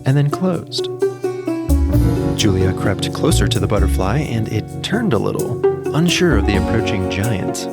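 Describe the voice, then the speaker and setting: A man narrating a scripted story as a podcast storyteller, in a gentle storybook way with deliberate phrasing. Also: on the low side, expressive, vocal fry. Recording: music behind the voice. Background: music.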